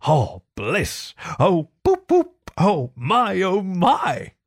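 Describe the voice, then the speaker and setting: A man's voice: sits high, huge pitch swings, very slow, no room sound, clean studio sound, voice pushed up, exaggerated voice. Storybook toad, male reader acting: obsessed and blissful, rhapsodic murmur, lingering delivery, wide melodic swings. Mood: dreamy, rapturous. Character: dreamy, besotted male toad in raptures of obsession. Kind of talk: storybook character reading.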